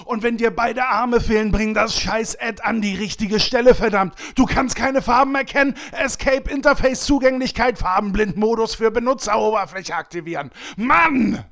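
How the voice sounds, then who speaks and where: A man acts out an angry raid leader in a gaming audio play. His voice is high for a man and full of creak. He barks commands and rants with coarse insults, berating his team. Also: moderate pace, hardly any pauses, a bit bright. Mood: exasperated, angry. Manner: ranting, foul-mouthed, commanding. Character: furious, berating male raid leader in an online game. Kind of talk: hobby comedy audio drama.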